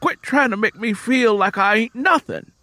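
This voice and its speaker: Guy doing a poor farm dad, proud and desperate, raised forceful voice, audiobook drama. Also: sits high, expressive, normal speed, no room sound, pitched above the performer's own voice. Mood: angry, frustrated. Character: proud, desperate, ashamed poor sharecropper father.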